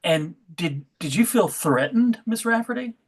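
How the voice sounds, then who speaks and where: A woman's voice: medium pitch, animated, unhurried, husky. A woman playing a courteous, clinical official in a comedy sketch read, tentative and politely probing.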